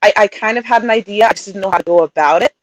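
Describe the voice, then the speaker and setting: A woman's voice: mid-range voice, rapid-fire, no echo, low-quality recording. Chatty woman with a dry close sound. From Utah, raised also in Sweden and England. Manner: chatty, laughs often, warm storytelling. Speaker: guest, coaching client. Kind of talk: casual interview talk.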